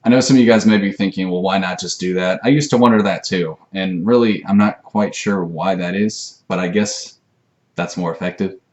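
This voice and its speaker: A man with a very low-pitched voice talks to camera as an amateur instructor. He rambles informally through his explanation. His delivery is hesitant and self-deprecating, recorded in a room at home. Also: steady tone, moderate pace, a little mellow. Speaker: amateur martial arts video maker.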